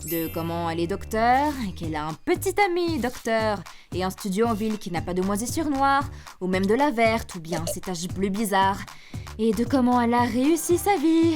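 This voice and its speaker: A woman playing an anxious, self-deprecating young university dropout in an animated comedy dub, dry, rambling and resigned. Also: medium pitch, lightly acted. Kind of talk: animated slice-of-life comedy fan dub.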